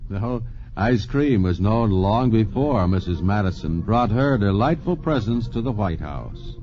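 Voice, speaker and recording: Man narrating an old radio science show, lively delivery, music behind, lo-fi. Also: on the low side, measured pace, background noise. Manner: genial, explanatory. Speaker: narrator, host. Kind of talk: scripted narration. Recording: dull transcription disc recording with music.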